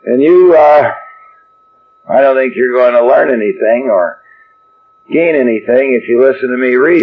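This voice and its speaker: A man from Chicago giving a joking, rambling talk at a recovery workshop to a live audience. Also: mid-range voice. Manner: wry, rambling, self-deprecating. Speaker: AA workshop speaker. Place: meeting room before an audience. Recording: dull lo-fi archive tape with faint hiss.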